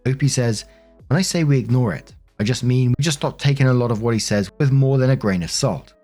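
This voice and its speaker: A man narrates in an informal, conversational way, like a host talking straight to his audience. His voice sits at a medium pitch, with music playing underneath. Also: brisk, warm tone. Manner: informal, direct address to listeners. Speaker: narrator and host of online story videos. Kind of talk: conversational narration of online relationship posts with commentary. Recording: music behind the voice. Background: background music.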